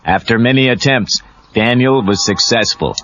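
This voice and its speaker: A man's voice: mid-range voice, normal speed, a bit bright, a little hiss or hum. A man narrating a scripted children's story as a storybook narrator. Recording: dull lo-fi cassette tape. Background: music.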